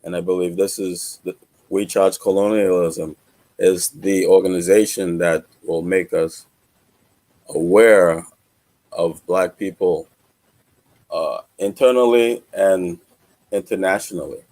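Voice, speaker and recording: A man from the United States, born in Trinidad and Tobago, giving earnest testimony in halting, deliberate phrases as a panel member. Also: sits low, steady tone, darkish tone.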